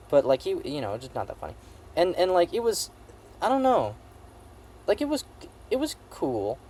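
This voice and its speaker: A man giving a casual, comedic movie review in a rambling, halting way, repeating phrases for emphasis and swearing now and then. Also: a little high, dark tone, a little hiss or hum.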